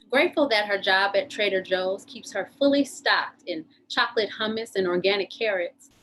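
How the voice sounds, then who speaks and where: A woman narrates from a script, introducing scenes. Her voice is a little higher than average. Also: a bit bright, poor audio.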